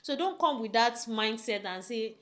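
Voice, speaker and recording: A woman's voice: a little high, animated, normal speed. A young woman giving relationship advice to camera in a chatty, emphatic way, full of rhetorical questions. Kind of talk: casual talk to camera.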